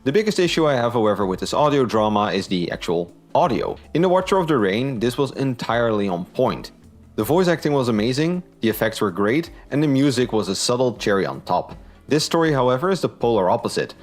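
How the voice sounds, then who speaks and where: A man's voice: mid-range voice, big pitch swings, a little fast, faint music behind. A man giving a scripted review in an informal, opinionated way. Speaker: book and audio drama reviewer.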